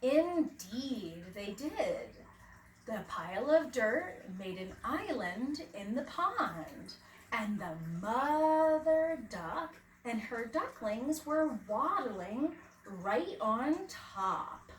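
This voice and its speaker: Female storytime reader, high lively voice, expressive teacher delivery, roomy sound. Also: drawn-out words. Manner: expressive, dramatic emphasis, asks young listeners questions. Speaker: preschool teacher reading aloud. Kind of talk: children's picture book read-aloud. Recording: roomy, distant mic, faint background noise.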